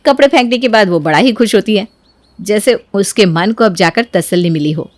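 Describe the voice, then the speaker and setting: A woman narrates a scripted domestic tale in an expressive storytelling voice. The recording is dry and close, with very little room in it. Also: a little high, no echo.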